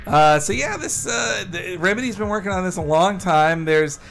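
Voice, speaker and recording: A man's voice: high voice, animated, normal speed, creaky, fairly bright tone, soft background music. A man co-hosting a casual gameplay stream, joking around and reading out viewer comments. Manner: jokey, reads out chat comments. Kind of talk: casual let's-play banter.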